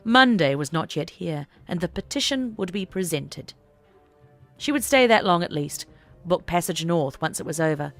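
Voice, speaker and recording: A woman narrating an audiobook story in a deliberate, expressive, dramatic reading style. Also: fairly low pitch, soft background music.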